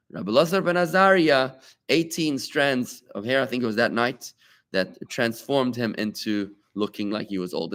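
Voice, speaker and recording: A man teaching a Talmud class to students in the room. He is a rabbi, and his delivery is animated and conversational. He often stops to ask rhetorical questions and make quick asides to his listeners. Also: medium pitch.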